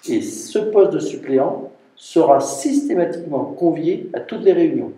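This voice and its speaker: A man's voice: a little high, expressive, normal speed, dark tone. A man speaking as a mayor at a council meeting, explaining things off the cuff in an emphatic way and repeating himself. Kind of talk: formal council briefing, spoken off the cuff. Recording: roomy, distant mic, faint noise and clicks.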